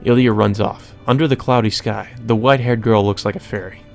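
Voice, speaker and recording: A man's voice: fairly low pitch, steady tone, fairly quick, gravelly, faint music behind. A man reading visual novel narration and dialogue aloud as a let's player.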